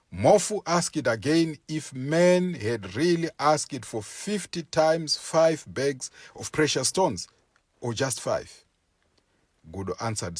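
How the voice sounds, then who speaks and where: A male storyteller narrates a folk tale with a slightly rough, husky voice. His pitch rises and falls widely as he goes, and he takes his time over each phrase in a deliberate, measured way. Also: dry recording, clean studio sound. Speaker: storyteller reading a written tale.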